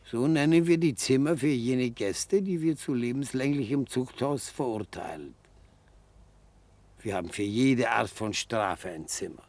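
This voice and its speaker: An actor playing a genial, slyly coaxing old retired defence lawyer in a German radio play, with pompous, florid, old-fashioned phrasing. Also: male voice, medium pitch, measured pace, darkish tone. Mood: matter-of-fact. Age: older.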